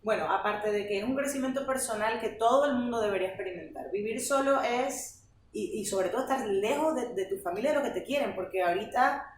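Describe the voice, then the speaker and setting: A young woman with a Venezuelan accent, a guest telling stories in a lively, chatty podcast interview. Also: a little high, a little fast. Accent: Venezuelan Spanish. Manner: chatty, animated storytelling. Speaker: guest, flamenco teacher. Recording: roomy, distant mic, faint noise.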